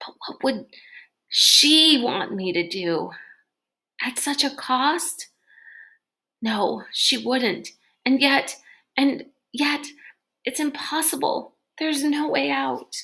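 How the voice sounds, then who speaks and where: Woman reading as a slow, anguished, hesitant boy. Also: high voice, vocal fry, bright, forceful tone, pitched above the performer's own voice, full character voice. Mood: anguished, torn. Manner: hesitant, pleading. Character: anguished, conflicted young boy hero, thinking to himself.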